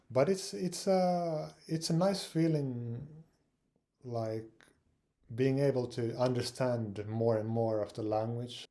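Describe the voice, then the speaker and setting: A man from Finland giving casual advice on language learning, speaking English with frequent pauses, fillers and repeated words. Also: medium pitch, mellow. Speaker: language learning channel host.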